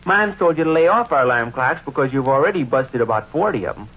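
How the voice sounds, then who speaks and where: Man acting a lively, wisecracking teenage son. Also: medium pitch, quick pace, lightly acted. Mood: knowing, teasing. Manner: folksy, slangy, knowing. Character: shrewd, wisecracking teenage son, good-natured and suspicious. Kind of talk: old-time radio comedy acting. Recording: dull, muffled old radio recording.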